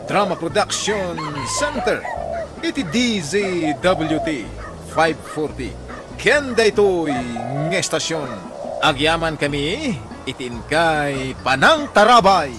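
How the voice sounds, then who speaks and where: Male Ilocano Filipino drama announcer: high, slow, swooping delivery over clear music. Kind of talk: dramatic scripted radio announcing.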